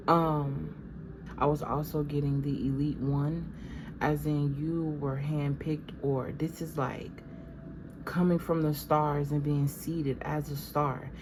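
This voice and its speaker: A woman's voice: low voice, steady tone, takes it slowly, noisy background. A woman doing a channeled tarot reading in a casual, meandering way, sounding a little stuffy. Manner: conversational, meandering, addresses viewers as "y'all". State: stuffy from allergies. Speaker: tarot reader.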